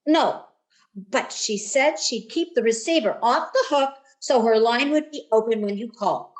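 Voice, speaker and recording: Woman playing a high-voiced teenage schoolgirl. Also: moderate pace, a little hiss or hum, natural voice. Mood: eager, helpful. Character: cheerful, helpful teenage schoolgirl, principal's daughter. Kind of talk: vintage radio sitcom acting.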